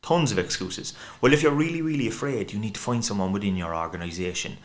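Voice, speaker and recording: A man's voice: lowish voice, fast. A man with a thick Cork accent, a podcast guest chatting casually and rambling at length. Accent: Cork Irish. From Ireland. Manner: chatty, rambling, acts out quoted voices. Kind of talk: casual chat.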